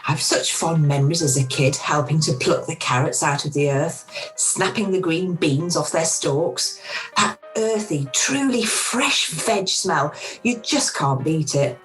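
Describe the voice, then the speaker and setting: A woman playing a warm but self-doubting middle-aged restaurant owner in an amateur radio play, recorded over a video call. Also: low-pitched, expressive, measured pace, faint music behind, rough recording. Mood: fond, enthusiastic.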